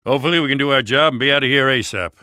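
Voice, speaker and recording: An actor playing a brisk, matter-of-fact police lieutenant in a video game, firm and businesslike. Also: male voice, mid-range voice, pushed and bright, no echo, clean studio sound, lightly acted. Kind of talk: naturalistic video game acting.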